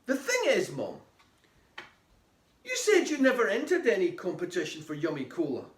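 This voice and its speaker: A man's voice: high-pitched, huge pitch swings, moderate pace. A man playing an anxious young boy, earnest and urgent, with a voice that rises and falls a lot. The sound is roomy and distant. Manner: urgent, whispering at times.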